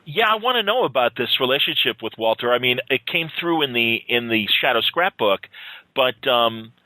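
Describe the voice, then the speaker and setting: A man with a mid-pitched, very bright and forceful voice, working as an interviewer. His delivery is lively and conversational, with eager interjections, and the sound is dry and close. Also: moderate pace, dry recording, poor audio. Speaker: podcast interviewer.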